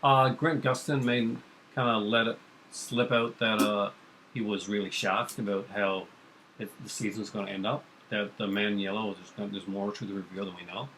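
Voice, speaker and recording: A man's voice: on the low side, little pitch movement, moderate pace, a bit bright, a little hiss or hum, rough recording. A young man co-hosting a casual chat about comics and TV, rambling with lots of fillers. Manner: rambling, filler-heavy, enthusiastic. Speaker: podcast co-host.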